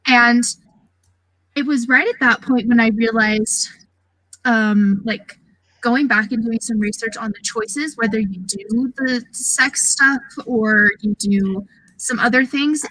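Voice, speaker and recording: A woman chatting casually about video games on a podcast, informal and halting as she thinks aloud. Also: on the high side, bright, forceful tone, no room sound, rough recording. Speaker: podcast panelist.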